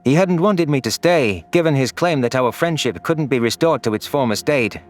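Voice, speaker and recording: A man's voice: medium pitch, expressive, moderate pace, natural voice. Man voicing a brooding, anguished young alien over music. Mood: self-loathing. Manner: earnest, brooding, solemn. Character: anguished, vengeful young male furry alien, first-person narrator. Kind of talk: dramatic first-person audiobook narration.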